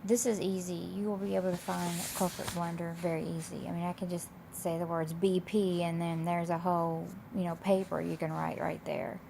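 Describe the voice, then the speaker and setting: A woman instructor with a slightly raspy, hoarse voice, explaining coursework in a casual, loosely phrased, conversational way. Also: on the low side, little pitch movement, brisk, few pauses. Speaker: college instructor. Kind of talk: informal explanation of course assignments. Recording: clear background noise.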